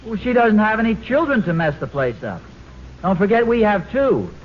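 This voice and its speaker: A man's voice: sits high, big pitch swings, normal speed. An actor playing a playful, put-upon American suburban father in a 1940s radio comedy, with a hesitant, wry manner. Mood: sheepish, defensive. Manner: hesitant, wry, excuse-making. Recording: muffled, noisy old radio recording.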